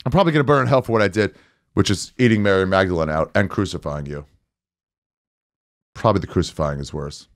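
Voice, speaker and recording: A man in his forties with a fairly low voice, rattling through comedic material. He sounds sarcastic and exasperated, recorded dry and close on a studio microphone. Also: fast, long pauses, no room sound. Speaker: guest comedian on a podcast. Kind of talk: casual comedic monologue read aloud. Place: small podcast studio, indoors. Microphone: close studio mic with headset.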